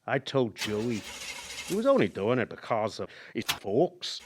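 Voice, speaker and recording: Man acting haunted former cab driver, wildly varied pitch, halting emotive storytelling, radio play, vehicle noise behind. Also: sing-song. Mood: pleading, remembering. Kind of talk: dramatic radio play monologue.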